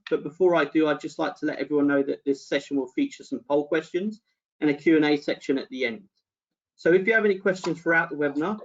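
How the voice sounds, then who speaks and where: Higher-voiced man moderating a webinar. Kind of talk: formal webinar introduction and moderation. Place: online video call. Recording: dull online call audio.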